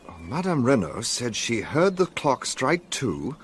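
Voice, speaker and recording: An actor playing an earnest, candid gentleman sidekick who narrates and converses in a radio drama. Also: medium pitch, huge pitch swings, unhurried, slight background noise. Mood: curious, earnest.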